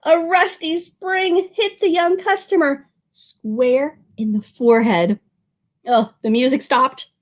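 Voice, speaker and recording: A woman reading a picture book aloud as a children's librarian, expressive, drawing out words and speaking to young listeners. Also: sits high, takes it slowly.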